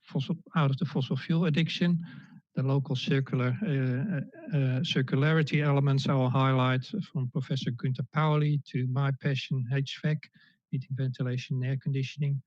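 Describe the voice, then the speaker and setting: A man in his fifties from the Netherlands with a Dutch accent, giving an online presentation with many pauses, fillers and restarts. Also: mid-range voice, fairly even intonation, darkish tone, no echo. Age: 50s. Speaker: guest speaker. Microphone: video call. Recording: dull lo-fi call audio.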